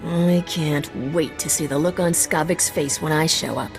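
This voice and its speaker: An actress playing a cocky, wisecracking smuggler captain in a video game, sardonic and confident. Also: female voice, sits low, steady tone, normal speed, vocal fry. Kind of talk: video game cutscene acting. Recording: long reverb, faint music.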